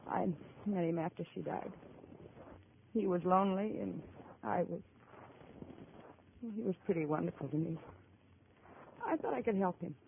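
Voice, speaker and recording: Young American widow played by an actress, defensive and bruised, in a 1950s radio play with thin, hissy sound. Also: female voice, medium pitch, close to an everyday voice. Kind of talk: 1950s radio drama acting. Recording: tinny, noisy old radio recording.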